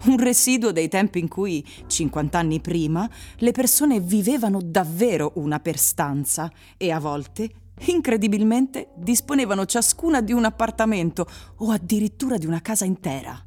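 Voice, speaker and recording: A woman narrator reading literary prose for a radio drama in a measured storytelling manner. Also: medium pitch, expressive, warm tone.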